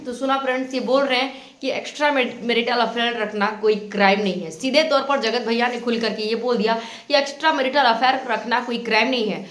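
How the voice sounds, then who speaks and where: A woman's voice: fairly high pitch, steady tone, fairly quick, few pauses, a bit bright, echoey room. A woman giving opinionated reaction commentary as a channel host, reproachful and insistent, often repeating her points.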